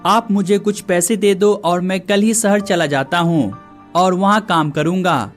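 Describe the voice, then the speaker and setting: A man's voice: sits high, measured pace, soft background music. A man voicing the brothers and villagers of a cartoon story in plain conversational dialogue.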